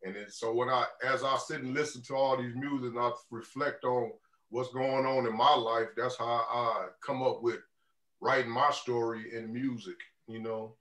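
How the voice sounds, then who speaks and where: Laid-back man with a deep voice on a video call. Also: flat delivery, normal speed, fairly bright tone. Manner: laid-back, loose, lots of "you know what I'm saying". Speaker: rapper guest. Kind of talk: casual interview answers. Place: indoor room on a video call. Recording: roomy video call audio, a bit distant.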